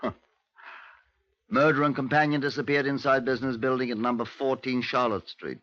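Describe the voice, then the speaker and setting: An actor playing a sober, dry British police inspector in a 1950s radio drama, clipped and matter-of-fact. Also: male voice, medium pitch, fairly even intonation, a little fast, a bit bright, natural voice. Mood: clipped, businesslike. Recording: dull old radio recording.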